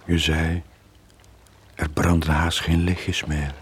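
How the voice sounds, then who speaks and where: A man's voice: extremely low pitch, flat delivery, slow, slight background noise. An actor with a Flemish accent playing a brooding seaman recalling words in a radio play. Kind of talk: 1980s radio play acting.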